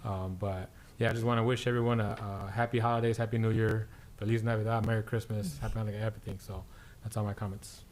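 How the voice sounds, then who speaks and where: A man's voice: lowish voice, little pitch movement, quick pace, hardly any pauses, darkish tone, a little hiss or hum. A man from Illinois giving rambling closing comments as a council member, full of fillers and restarts. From Illinois, USA. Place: city council chamber.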